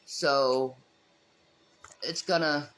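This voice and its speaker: A woman's voice: a bass voice, fairly even intonation, drawn-out words, noisy background. A woman ranting defiantly in a long monologue, emphatic and repetitive, her voice a little strained. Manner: emphatic, repeats herself insistently.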